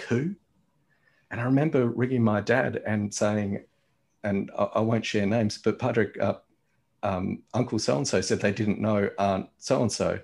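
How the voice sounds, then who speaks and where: A man hosting a reflective conversation, speaking haltingly with many pauses and restarts as he frames his questions. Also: on the low side, creaky. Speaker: host.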